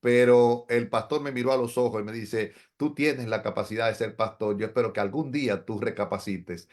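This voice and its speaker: A man's voice: mid-range voice, fairly even intonation, normal speed, darkish tone. A middle-aged man, a pastor, telling his story in an interview in an earnest, reflective way. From Dominican Republic. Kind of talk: interview testimony.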